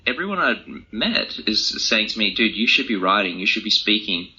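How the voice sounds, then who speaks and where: A young man gives casual interview answers, thinking aloud as he goes. His voice is low and raspy with a very bright, forceful edge. It is conversational throughout and informal in wording. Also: sing-song, moderate pace. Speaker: interview guest, author and speaker. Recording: dull, lo-fi audio.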